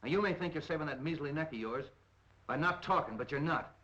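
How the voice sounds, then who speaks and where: Firm police captain, lo-fi. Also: male voice, a little high, animated, fast talker, bright, forceful tone. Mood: firm, pressing. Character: firm, hard-boiled male police captain interrogating a suspect. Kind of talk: 1930s mystery film acting. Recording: dull, lo-fi 1930s film soundtrack.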